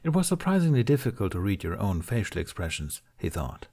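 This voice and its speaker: A man narrates a story in a somewhat low voice. He reads scripted fiction in the manner of an audiobook narrator. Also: very animated, fairly quick, darkish tone.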